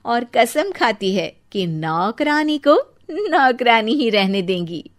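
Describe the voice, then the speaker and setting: A woman's voice: fairly high pitch, very animated, slow pace, no echo, clean studio sound. A woman narrating a cartoon family story in a scripted storytelling style.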